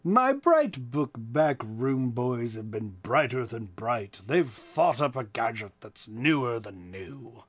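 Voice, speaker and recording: A man's voice: mid-range voice, sing-song, slow, hardly any pauses, pitched below the performer's own voice. Man putting on a commanding, reassuring military chief. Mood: confident, commanding.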